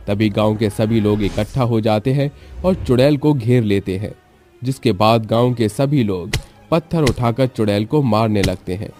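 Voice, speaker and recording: A man's voice: fairly low pitch, normal speed, a little mellow. A man giving scripted narration for a storybook tale. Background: music.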